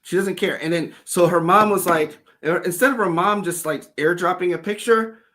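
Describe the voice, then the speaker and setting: Male livestream host, slightly higher voice, casual and animated, swears a bit. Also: normal speed. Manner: animated, loose storytelling with profanity.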